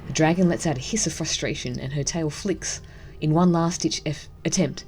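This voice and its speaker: A woman's voice: low-pitched, moderate pace, darkish tone, a little hiss or hum. A woman hosting a gaming video, casually commenting and reading the story text aloud. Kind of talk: casual game commentary and read-aloud narration.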